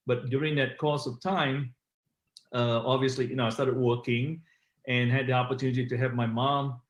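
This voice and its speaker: A man's voice: mid-range voice, echoey room. A middle-aged man from Malaysia, an author telling his story as an interview guest, rambling with many fillers. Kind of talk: conversational interview.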